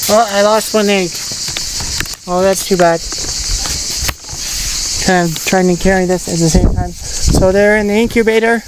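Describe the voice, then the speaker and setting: A woman's voice: mid-range voice, steady tone, measured pace, long pauses. Chatty woman vlogging outdoors, insects buzzing. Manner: chatty, repeats and restarts phrases while walking. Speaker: vlogger showing her farm. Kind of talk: casual walk-and-talk vlog. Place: outdoors on a rural farm with insects around. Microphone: handheld camera. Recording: outdoor camera audio with clear insect noise.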